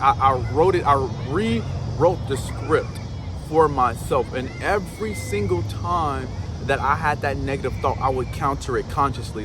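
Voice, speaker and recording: A man in his thirties giving a casual self-help talk, loose and swearing, full of fillers and restarts. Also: mid-range voice. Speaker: motivational vlogger. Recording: outdoor traffic noise behind.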